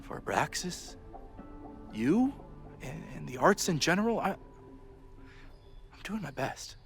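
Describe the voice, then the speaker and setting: A wry, harried university aide in a naturalistic video game drama. His pitch moves in animated swings, with theatrical sarcasm and exasperation. Faint music plays behind him. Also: slow, gravelly, full character voice. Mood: earnest, defensive.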